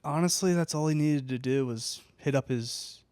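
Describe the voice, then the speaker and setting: A man with a smooth, clean voice, recorded close and dry with no room sound. He co-hosts a casual podcast and throws out blunt, crude, opinionated jokes in easy banter. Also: mid-range voice, moderate pace, a little mellow, no room sound. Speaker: podcast co-host reacting to the story. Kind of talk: casual comedic podcast chat.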